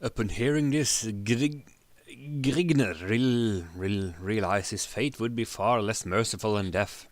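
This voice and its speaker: A man giving a dramatic reading of a story, halting and stumbling over words as he narrates. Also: lowish voice, no echo. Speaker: narrator, streamer.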